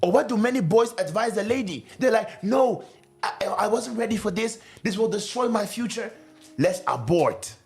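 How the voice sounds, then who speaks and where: A man preaching in an earnest, urgent way, leaning on questions and repeating his words. His voice sits high and rises and falls a great deal. Soft music plays faintly underneath. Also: animated, moderate pace. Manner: earnest, rhetorical questions, repeats key phrases. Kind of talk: sermon.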